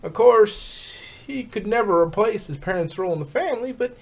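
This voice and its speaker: A woman voicing a kindly elderly farm pony grandmother in a fan fiction reading, with folksy, drawn-out phrasing. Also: medium pitch, lively intonation, darkish tone. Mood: wistful, tender. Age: old.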